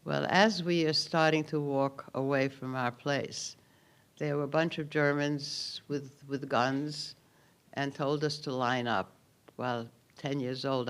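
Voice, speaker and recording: A man in his eighties with a Hungarian accent, giving a personal testimony speech at a memorial ceremony, telling his story calmly with dry understatement. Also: mid-range voice, clear, resonant tone. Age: 80s. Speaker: Holocaust survivor giving a keynote.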